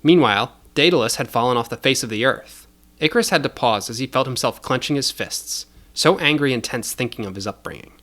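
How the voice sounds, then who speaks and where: A man's voice: medium pitch, fairly quick, fairly bright tone. A man narrating scripted fiction as a storyteller, in a dramatic style.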